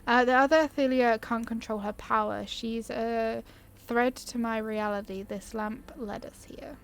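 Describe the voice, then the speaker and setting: A woman's voice: fairly high pitch, steady tone, unhurried, vocal fry, a little hiss or hum. A woman giving casual, enthusiastic gameplay commentary, chatting informally and easily sidetracked. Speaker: gaming video creator. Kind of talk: casual chatty gameplay commentary, reading game dialogue options aloud.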